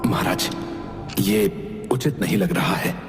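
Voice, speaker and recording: A man's voice: low-pitched, takes it slowly, over music. A man voicing a loyal, suspicious royal minister in an audio story, formal and cautious. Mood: concerned. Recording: echoey hall reverb.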